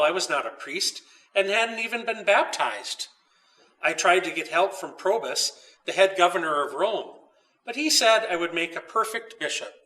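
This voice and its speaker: Talking-icon bishop saint for a storybook, man performing: wise, solemn, teacherly, forceful tone, a bit distant. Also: high-pitched, heavily acted. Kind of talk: storybook character voice.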